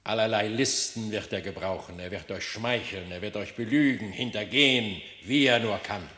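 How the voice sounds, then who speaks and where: An actor playing a stern animal king in a radio play, speaking with solemn, deliberate authority. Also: lowish voice, bright, forceful tone. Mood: stern, cautioning. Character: stern animal king warning his envoy. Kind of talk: classic German radio play acting. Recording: echoey, reverberant hall.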